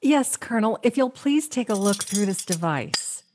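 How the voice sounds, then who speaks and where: An actress playing a composed, earnest agent giving a formal briefing in a radio play. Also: female voice, mid-range voice, animated, moderate pace, noisy background, natural voice. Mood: earnest, official.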